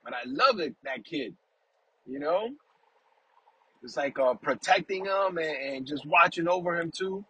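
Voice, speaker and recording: A man's voice: fairly high pitch, lively intonation, a little slow, long pauses, a little hiss or hum, poor audio. A man hosting a casual faith talk, rambling affectionately and often asking "you know what I'm saying?". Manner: affectionate, warmly emotional about family. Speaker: podcast host.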